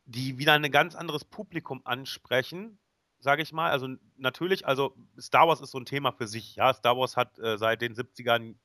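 A man's voice: medium pitch, steady tone, fairly quick, bright, forceful tone, dry recording, studio quality. A man in a casual podcast discussion, speaking in stop-start phrases.

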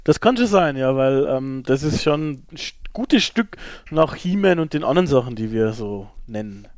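A man's voice: medium pitch, animated, normal speed, no room sound, studio quality. A man chatting informally as a podcast co-host. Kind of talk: casual chat, ranking old cartoons.

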